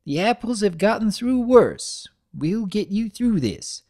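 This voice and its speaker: A man's voice: high voice, big pitch swings, unhurried, dark tone, voice pushed up, exaggerated voice. A man voicing a feisty, blunt elderly country granny pony with folksy talk, in a dramatic reading of a cartoon story. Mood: consoling.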